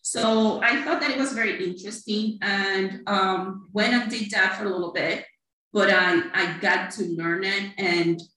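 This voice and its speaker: A woman's voice: mid-range voice, fairly even intonation, measured pace, bright, forceful tone. A middle-aged woman from Cuba, chatting about her career as an accountant with many fillers. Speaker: accountant guest. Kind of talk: conversational interview. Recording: echoey, distant from mic.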